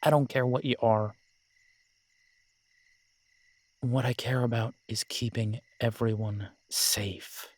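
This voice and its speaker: A man playing a determined, pragmatic werewolf pack leader in an audio roleplay, measured, reassuring and matter-of-fact. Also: medium pitch, steady tone, no echo, lightly acted. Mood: firm, explaining.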